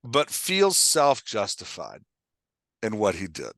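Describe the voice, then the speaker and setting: A man hosting a casual coaching conversation, explaining in an animated way and often checking that he is understood. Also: lowish voice, takes it slowly, no echo, clean studio sound. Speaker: podcast host and writing coach.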